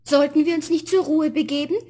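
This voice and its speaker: Young heroine for a fairy-tale record actress: very high voice, sweet, earnest, well-mannered. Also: female voice, fairly even intonation, brisk, mellow, close to an everyday voice. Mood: polite. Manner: polite, tender, earnest. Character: sweet, dutiful, polite young girl. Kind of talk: 1970s German fairy-tale radio play.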